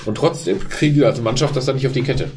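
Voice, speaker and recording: A man's voice: mid-range voice, quick pace, background noise. A man chatting casually with friends about football, rambling and restarting his sentences, often tagging them with a questioning "ne?".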